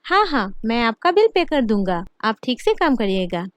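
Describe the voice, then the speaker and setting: A woman's voice: fairly high pitch, big pitch swings, normal speed, dry recording. A woman voicing a scheming, anxious young village wife in a cartoon. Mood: assured.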